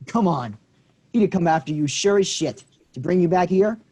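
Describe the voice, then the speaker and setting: An actor reading a quick-tempered young man, combative and swearing in a staged play reading. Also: high-pitched, lively intonation, darkish tone, husky, full character voice.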